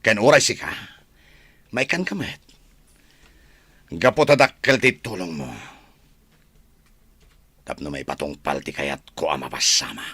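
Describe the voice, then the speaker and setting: Pompous crooked governor in an ilocano radio drama, ilocano accent, raspy, slow swaggering delivery. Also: male voice, low-pitched, animated, pushed and bright, no echo, heavily acted. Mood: scheming, satisfied. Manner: pompous, boastful. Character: villainous, boastful provincial governor, male, plotting and commanding.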